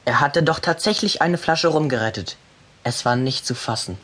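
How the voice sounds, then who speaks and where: A woman's voice: extremely low pitch, normal speed, fairly bright tone, slightly rough, full character voice. A woman playing a cocky, wisecracking young boy in a children's adventure audio drama. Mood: narrating. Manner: cheeky, flippant. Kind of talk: German children's audio drama acting and narration.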